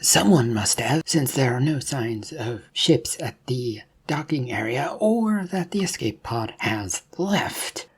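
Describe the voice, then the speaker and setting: A storyteller voicing a wary, reasoning detective in a science fiction story, with a husky voice and a measured, questioning manner. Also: medium pitch, expressive, heavily acted. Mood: reasoning, insistent. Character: wary, reasoning detective questioning fellow suspects aboard space yacht.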